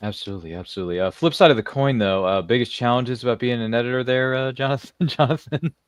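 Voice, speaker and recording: Male stream host, 30s, rapid and chatty, jokey and effusive, very dry close sound. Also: medium pitch, clean tone, no room sound. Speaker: talk show host. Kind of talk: casual livestream interview hosting.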